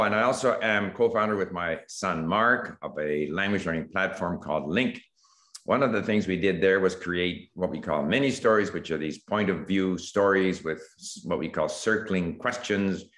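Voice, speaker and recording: Older canadian guy on a video call, really low voice, kinda echoey room, takes his time. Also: a bit bright. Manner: measured, thoughtful, with fillers. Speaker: panel guest, language creator. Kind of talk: casual video call chat. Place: room at home.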